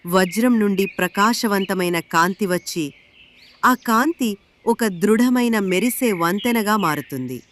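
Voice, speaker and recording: A woman's voice: medium pitch, expressive, a little slow, fairly bright tone. A woman narrating a scripted moral tale as its storyteller.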